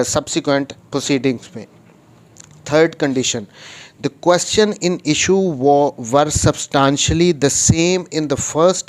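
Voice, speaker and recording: Higher-pitched man giving a methodical lecture. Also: darkish tone. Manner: code-switches between Hindi and English; methodical, repeats key points. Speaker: law lecturer. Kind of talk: explanatory law lecture, reading provisions then explaining them.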